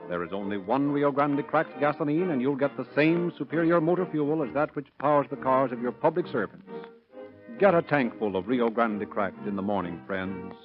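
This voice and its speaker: A man announcing and narrating in a formal, declamatory 1930s radio style with measured, scripted phrasing. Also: mid-range voice. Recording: dull vintage radio with music.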